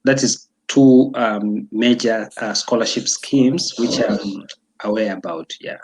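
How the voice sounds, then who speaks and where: A young man from Tanzania talking casually as an interview guest, pausing often with fillers and restarts. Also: lowish voice, background noise. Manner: hesitant, many fillers and restarts.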